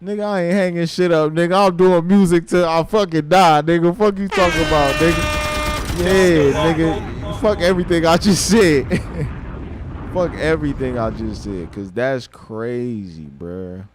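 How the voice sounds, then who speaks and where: Man in his thirties, slow livestream talk. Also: on the high side, noisy background. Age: 30s. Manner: reflective, then loud and excited with laughter and swearing. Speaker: livestreaming rapper.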